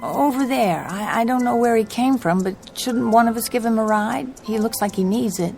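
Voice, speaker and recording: A woman's voice: fairly high pitch, normal speed, creaky, a little mellow, faint music behind. An actress playing an anxious, haunted young woman traveling alone in a radio drama, speaking in a hesitant, polite, uneasy way. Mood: uneasy, puzzled.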